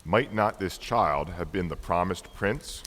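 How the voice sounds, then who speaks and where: A man's voice: deep voice, unhurried, a bit bright. A man playing a devout, courteous Magus in an amateur dramatic Christmas reading, speaking in a formal, solemn, declamatory way. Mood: solemn wonder. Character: Artaban, devout, courteous, weary male Magus seeking a king. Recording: long hall reverb, faint background noise.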